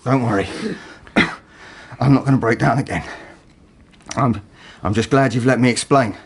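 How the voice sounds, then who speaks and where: A male actor plays a broken, bitter working man in a naturalistic radio drama. His delivery is halting and hesitant, raw with feeling, at an ordinary male pitch. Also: a little hiss or hum, lightly acted. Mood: defiant. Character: embittered, broken working man confessing in a police interview.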